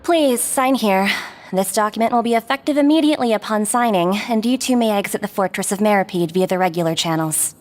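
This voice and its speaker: A woman's voice: medium pitch, brisk, hardly any pauses, close to an everyday voice. A woman playing a polite, businesslike prison clerk in a video game. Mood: formal. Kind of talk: video game character acting. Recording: long reverb.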